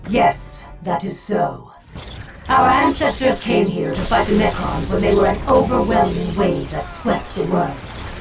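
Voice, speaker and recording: Video game alien seer , female actor: proud, cryptic, measured and theatrical, echoey sound over music. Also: mid-range voice, animated, lightly acted. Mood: proud, resolute. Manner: stately, measured, theatrical delivery. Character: solemn, proud alien Eldar farseer, cryptic war leader. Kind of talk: dramatic video game acting. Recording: echoing, distant, music behind.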